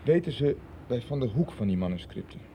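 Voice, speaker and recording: A man's voice: mid-range voice, big pitch swings, brisk, mellow, a little hiss or hum. An actor in a radio soap playing a grave, secretive man who presses and pleads with his brother. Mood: pleading, pressing. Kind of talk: 1990s Dutch radio soap acting.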